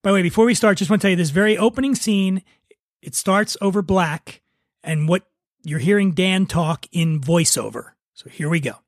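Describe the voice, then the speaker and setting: A man's voice: high voice, moderate pace, no echo, studio quality. A man hosting a podcast, talking casually and narrating a script. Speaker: podcast host and writer.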